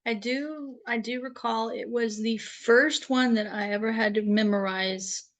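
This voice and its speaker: A woman's voice: on the high side, steady tone, a little slow. A woman co-hosting a live poetry show, chatting casually in an effusive, appreciative manner. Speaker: co-host of a live poetry event.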